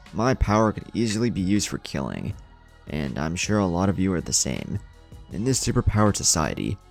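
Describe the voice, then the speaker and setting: A man's voice: low voice, vocal fry, darkish tone, full character voice. A man voicing an earnest, protective rescue hero who speaks formally to students in a story.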